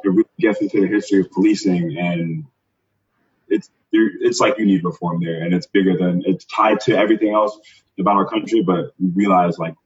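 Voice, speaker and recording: A man talking quickly and emphatically in a casual podcast discussion. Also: low-pitched, slightly rough. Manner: rapid, animated, emphatic. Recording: dull lo-fi audio.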